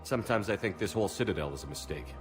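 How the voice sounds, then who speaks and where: A tough military hero with a very deep voice and brisk, blunt phrasing. He sounds dry and assured, in game cutscene style over clear background music. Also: lively intonation. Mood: matter-of-fact. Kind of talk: video game voice acting. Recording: music under the dialogue.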